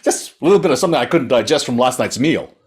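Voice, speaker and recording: A man voicing a small, cheeky, boastful frog in a folktale, talking in excitable bursts and repeating himself. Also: mid-range voice, slightly rough. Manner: excitable, bragging, repeats words in quick bursts. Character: small cheeky boastful male frog, quick-witted trickster.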